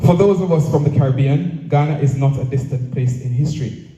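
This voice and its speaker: Echoey, very mellow Jamaican man giving a speech. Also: medium pitch. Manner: measured, rhetorical, deliberate phrasing with repetition. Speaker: association president giving a speech. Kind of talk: prepared public speech. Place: speaking at a live event, room echo. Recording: echoey venue, distant microphone.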